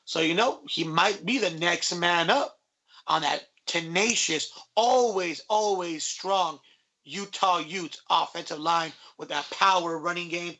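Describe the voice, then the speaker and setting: High voiced guy cohosting sports pod, bright forceful sound, lots of slang. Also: unhurried. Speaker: sports podcast co-host. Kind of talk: casual, slangy sports chat.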